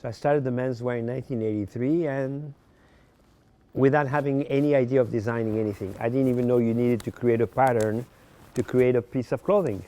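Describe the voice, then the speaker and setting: A man in his sixties from Morocco, raised in France, telling his life story as a podcast guest in a halting way, often repeating words. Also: medium pitch, steady tone, warm tone. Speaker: podcast guest, fashion designer. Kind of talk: casual interview storytelling.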